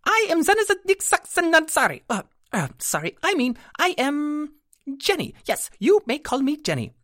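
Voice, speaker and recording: A high, squeaky pixie shopkeeper, perky and easily flustered. She stammers and reaches for grand, ornate words. The voice swoops lively over a dry, close sound. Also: normal speed, dry recording. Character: tiny perky female winged shopkeeper, flustered.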